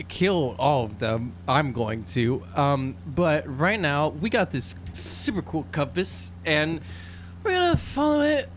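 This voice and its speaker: A man's voice: on the high side, a bit bright. A man playing a tabletop role-playing game, bantering in a joking, informal way. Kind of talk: casual banter during game play. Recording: faint hum and background noise.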